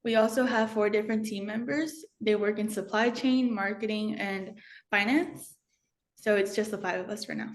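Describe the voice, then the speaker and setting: A woman's voice: mid-range voice, moderate pace. A teenage girl, a student company CEO, explaining her product in an interview, careful and a little hesitant. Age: teens. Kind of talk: interview answers.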